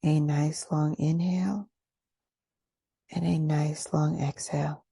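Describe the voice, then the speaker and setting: A woman leading a guided meditation in a soft, breathy voice, soothing and unhurried, pausing between short phrases. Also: sits low, fairly even intonation, no echo. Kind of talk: guided meditation, gentle scripted instruction.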